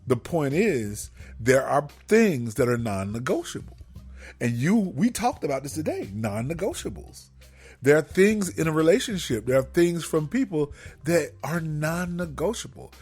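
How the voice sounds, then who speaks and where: Man, radio co-host, talkative, preachy asides, lively pitch, faint music behind. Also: moderate pace, breathy edge. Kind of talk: casual couple chat.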